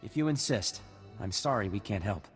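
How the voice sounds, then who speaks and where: A man voicing an earnest, respectful young militia recruit in a video game, with a husky voice. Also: lowish voice, expressive, normal speed, long reverb tail, soft background music. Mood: resigned.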